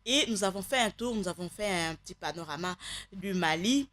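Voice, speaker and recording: A woman presents a radio program from a studio with a dry, close sound. She talks in an easygoing, chatty way at a middle pitch, thanking and greeting listeners and staff. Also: expressive, moderate pace, fairly bright tone, no echo. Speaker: radio show host. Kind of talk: live radio show wrap-up.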